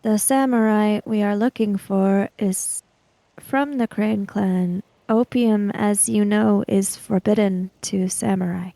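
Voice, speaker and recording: A woman playing a tabletop roleplaying game, speaking improvised dialogue in a measured, deliberate way with halting phrases. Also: medium pitch, fairly even intonation, gravelly, warm tone, no echo.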